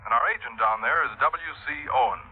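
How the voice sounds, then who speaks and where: A man playing a dry, grumpy insurance man in a radio drama, terse and deadpan. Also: mid-range voice, brisk, natural voice. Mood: dry, brisk. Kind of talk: 1950s radio drama acting. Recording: thin telephone-like old radio sound.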